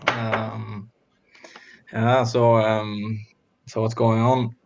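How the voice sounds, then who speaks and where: Young Swedish-accented man, gravelly, flat, laid-back podcast co-host, lo-fi sound. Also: lowish voice, very slow. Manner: laughs often, hesitant with fillers. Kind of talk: casual chat.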